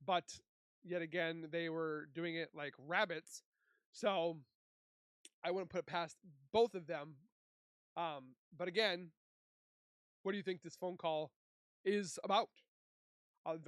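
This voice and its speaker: A woman giving casual, unscripted commentary as a host, halting as she searches for words. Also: lowish voice, clear, resonant tone, no room sound, studio quality.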